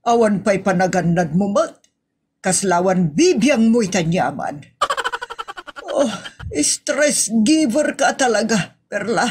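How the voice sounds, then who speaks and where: A woman playing a demanding, exasperated lady of the house in a comedy audio drama, scolding deliberately with an Ilocano Filipino accent. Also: mid-range voice, husky, exaggerated voice.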